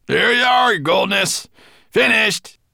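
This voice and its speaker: A man's voice: sits low, moderate pace, fairly bright tone, dry recording, clean studio sound. A man voicing a small, put-upon dwarf servant who is sulky, nervous and grumbling. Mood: strained, pleading.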